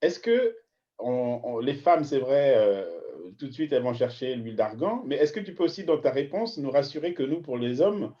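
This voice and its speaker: A man's voice: mid-range voice, big pitch swings, normal speed, warm tone, highs cut off. A man hosting an online interview, asking long, wordy questions broken up by hesitations. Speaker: host.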